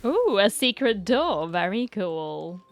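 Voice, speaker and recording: A woman's voice: medium pitch, sing-song, slow. A woman doing casual let's-play commentary, chatty and talking back to the game. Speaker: gamer, streamer. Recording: game music behind the voice.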